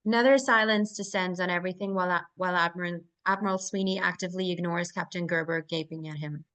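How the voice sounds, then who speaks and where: A woman's voice: on the low side, steady tone, fast talker, fairly bright tone, clean tone. A woman narrator reading screenplay stage directions aloud at a live table read.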